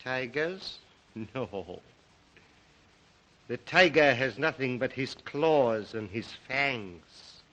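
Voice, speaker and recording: An actor playing a suave, obsessive Russian count and hunter, speaking with a Russian accent in a deliberate, theatrical, old melodrama manner. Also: male voice, mid-range voice, expressive, fairly bright tone, muffled recording. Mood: brooding, intense. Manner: theatrical, deliberate, brooding, courtly. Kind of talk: 1930s film-era melodramatic acting.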